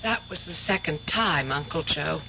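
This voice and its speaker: A woman's voice: deep voice, animated, moderate pace, creaky, bright, forceful tone, a little hiss or hum, exaggerated voice, low-quality recording. An actress playing a young woman, a gangster's wounded adopted daughter, in pointed and accusing old-time radio drama style.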